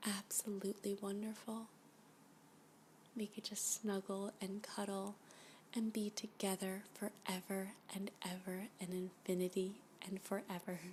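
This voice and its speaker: A woman playing a flirty, clingy alien abductee in an ASMR roleplay, gushing and halting, often dropping to a whisper. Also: mid-range voice, level tone, darkish tone, close to an everyday voice. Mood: adoring, wistful. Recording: background noise.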